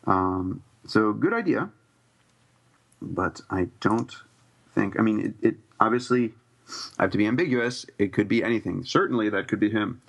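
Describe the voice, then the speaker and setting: A man co-hosting a podcast in casual chat, thinking aloud and hedging with many pauses. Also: on the low side, expressive, creaky, fairly bright tone, breathy edge. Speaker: podcast co-host.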